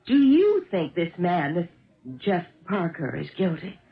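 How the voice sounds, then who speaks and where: An American actress playing a troubled, determined detective's wife who pleads hesitantly in a 1970s radio drama. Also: female voice, on the low side, sing-song, a little slow. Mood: probing, insistent. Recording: tinny old radio broadcast.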